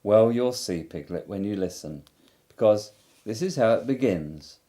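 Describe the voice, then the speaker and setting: A man voicing a cheerful, muddled and simple young bear in a storybook reading, slow and sing-song with humming. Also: lowish voice, animated, warm tone.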